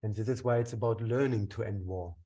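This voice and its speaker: A man's voice: on the low side, brisk, warm tone, distant mic. A man co-hosting a webinar, speaking in a thoughtful, earnest teaching manner. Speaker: webinar co-host. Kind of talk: reflective teaching talk.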